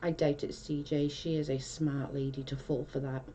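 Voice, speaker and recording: A woman's voice: sits low, flat delivery, normal speed, mellow, a little hiss or hum. A woman from South Wales with a Welsh accent, chatting casually on a livestream and reading out comments in a rambling way with many restarts.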